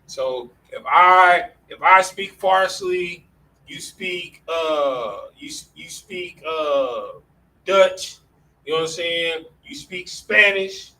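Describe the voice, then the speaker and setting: A man's voice: high-pitched, bright, forceful tone. A man teaching a classroom lesson in a casual way, often saying "all right" between points. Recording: distant mic with faint room noise.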